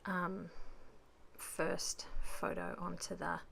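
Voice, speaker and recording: A woman with a croaky voice chatting casually through a voice-over about her crafting, rambling with lots of ums. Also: on the low side, flat delivery, drawn-out words, a bit bright, slight background noise. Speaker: scrapbooking vlogger.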